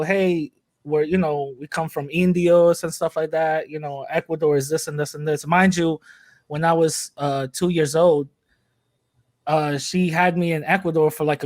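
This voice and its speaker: A man's voice: on the high side, no echo, clean studio sound. A young man, chatting casually as a podcast guest, joking and rambling with many fillers. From New York City, USA. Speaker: podcast host, interviewee. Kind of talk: casual interview chat.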